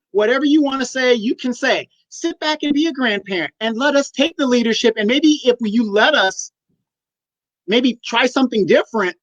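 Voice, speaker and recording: A man's voice: extremely high pitch, no echo. A man in his fifties co-hosting a live discussion, blunt and emphatic as he argues his point. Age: 50s. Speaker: co-host. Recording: dry, muffled lo-fi audio.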